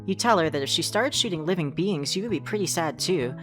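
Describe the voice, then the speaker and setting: A woman's voice: lowish voice, lively intonation, fairly quick, over music, close to an everyday voice. A woman acting as the wry, easily sidetracked narrator of a fan-dubbed visual novel, with conversational asides. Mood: flustered, wry. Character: second-person narrator, wry, rambling, easily sidetracked visitor.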